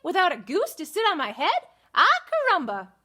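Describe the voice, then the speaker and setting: A woman's voice: very high voice, sing-song, slow pace, bright, forceful tone, pitched above the performer's own voice, exaggerated voice. A woman voicing an indignant hen with Spanish exclamations in a picture book reading.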